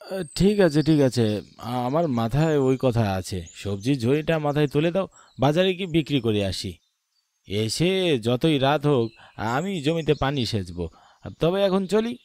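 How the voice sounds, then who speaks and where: A man's voice: on the high side, big pitch swings, normal speed, pauses often, a little mellow, no room sound. A man playing a poor, earnest village farmer in a cartoon drama, plain-spoken and prone to nervous stammering. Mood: weary. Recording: music behind the voice.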